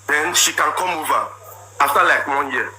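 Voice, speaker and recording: Loud Nigerian man, high-pitched, insistent. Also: moderate pace. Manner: loud, forceful, repetitive storytelling. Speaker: husband telling his side. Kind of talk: emotional personal account. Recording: noisy background.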